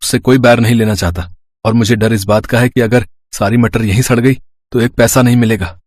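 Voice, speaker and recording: A man's voice: mid-range voice, fairly even intonation, a little fast, darkish tone, no room sound, natural voice. Earnest young-hearted village farmer played by a man, close and dry. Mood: worried. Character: kind poor village farmer, earnest husband. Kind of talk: Hindi moral-story cartoon dubbing.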